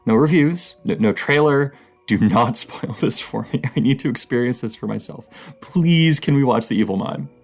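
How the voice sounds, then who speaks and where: A man playing a creaky-voiced, dorky, playful boyfriend. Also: mid-range voice, animated, moderate pace, soft background music. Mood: excited, playful. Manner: rambling, giggly, hesitant, teasing. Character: dorky, playful young romantic partner, horror film nerd. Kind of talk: intimate audio roleplay addressed to the listener.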